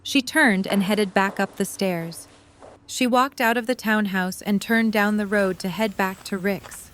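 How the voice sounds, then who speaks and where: Woman narrating, medium-pitched voice. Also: a little slow, a bit bright, slight background noise. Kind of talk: scripted story narration.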